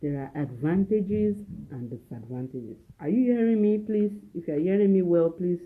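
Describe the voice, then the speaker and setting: Soft-spoken Nigerian woman teaching, phone-like sound. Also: fairly low pitch, animated, moderate pace. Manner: repeats key phrases for emphasis; speaking softly at home. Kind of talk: teaching talk with preaching. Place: at home. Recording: narrow phone-like audio.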